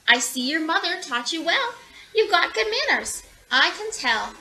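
A woman's voice: very high-pitched, very animated, moderate pace, pushed and bright, exaggerated voice, poor audio. A woman voicing a courteous, careful little storybook spider, precise and approving. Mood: approving, proud.

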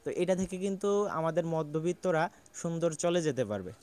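A young man from Bangladesh talks earnestly to the camera as a small goat farmer, and his voice sits a little higher than most men's. He explains things step by step and often stops on a drawn-out "ah". He speaks outdoors in a farmyard, holding a small mic close to his mouth. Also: lively intonation, darkish tone. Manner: earnest and explanatory, with frequent "ah" pauses. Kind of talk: casual advice talk to camera. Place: outdoor farmyard with goats and pigeons. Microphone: small handheld mic near the mouth.

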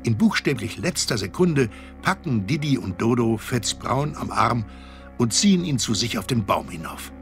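A man narrating a children's audio drama as an expressive, wry storyteller. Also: mid-range voice, moderate pace, faint music behind. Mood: wry, suspenseful.

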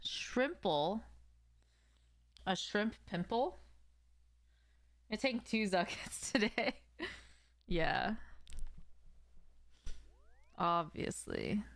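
A woman's voice: medium pitch, lively intonation, drawn-out words, pauses often, no echo. A young adult woman streaming a game, chatting casually and playfully with her viewers in slangy speech. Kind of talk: casual live stream chat while gaming. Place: small room at home, indoors.

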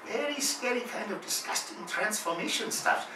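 Man doing an excitable, high-pitched man. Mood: excited. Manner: exclaiming, halting, drawn-out delivery. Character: excitable Japanese man, giggly, speaking broken English. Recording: roomy, distant mic, noisy room.

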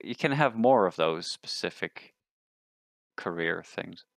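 Male gamer, deep bass register, casual player talk, recorded dry. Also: animated, slow pace, vocal fry, dry recording. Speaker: tabletop game player. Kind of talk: casual game chat.

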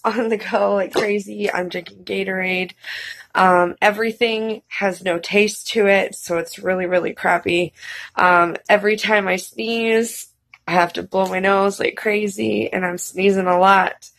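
A woman in her thirties with a head cold, talking casually to a webcam from her couch in a crusty, hoarse voice, rambling and apologetic with lots of "um"s. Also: medium pitch, steady tone, measured pace, fairly bright tone. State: congested with a head cold and a sore, croaky throat. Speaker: streamer and vlogger. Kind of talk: casual chat to camera. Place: living room, seated on a couch. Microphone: webcam at close range.

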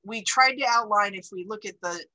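A woman's voice: mid-range voice, fairly quick, pushed and bright, poor audio. A woman library director, giving a chatty report on a video call with many fillers and restarts.

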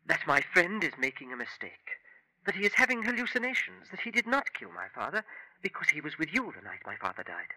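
An actor playing a cold, scheming young gentleman with formal, clipped speech in a 1950s radio drama. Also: fairly high pitch, sing-song, fairly quick, heavily acted, poor audio. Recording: vintage 1950s radio recording.

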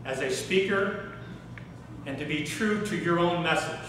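A middle-aged man giving a workshop talk on public speaking, animated and asking the audience questions in a hotel conference room. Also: on the high side, a little slow, a little hiss or hum. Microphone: far camera, no mic seen. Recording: echoey room recorded from far off.

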